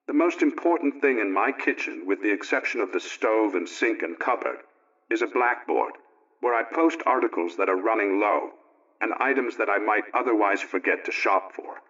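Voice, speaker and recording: A man's voice: lowish voice, moderate pace, fairly bright tone. A man playing a homely, contented male housekeeper, reading out a folksy, orderly letter in 1920s radio style. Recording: dull, muffled old radio recording.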